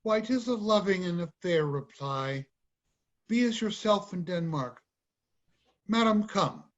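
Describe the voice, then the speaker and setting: A man playing a smooth, imperious middle-aged king in a formal, declamatory Shakespeare reading over a video call. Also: sits high, expressive, measured pace. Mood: stately, persuasive. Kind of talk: dramatic reading of Shakespearean verse. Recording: dull lo-fi video call audio.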